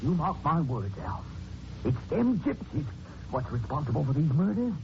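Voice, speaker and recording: A superstitious working-class London gossip in a radio mystery, speaking in rough grammar. The voice is fairly high and animated, on noisy vintage audio. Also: male voice, normal speed. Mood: suspicious. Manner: uneducated grammar. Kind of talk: 1940s radio drama acting. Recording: noisy muffled 1940s radio recording.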